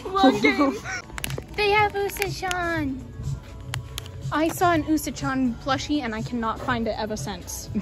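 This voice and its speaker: A young woman chatting casually and excitably to a handheld phone while out as a cosplay vlogger. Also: high voice, measured pace, over music. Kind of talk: casual chat to camera. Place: shopping mall and stores, outdoors at first. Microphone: handheld phone at arm's length.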